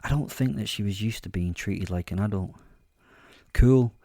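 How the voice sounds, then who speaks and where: A man narrates a dark audio drama in a very low register. His voice is soft-edged and mellow, recorded close and dry, with a measured storytelling delivery. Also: no echo. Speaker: narrator and voice actor. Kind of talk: first-person audio drama narration, horror fiction.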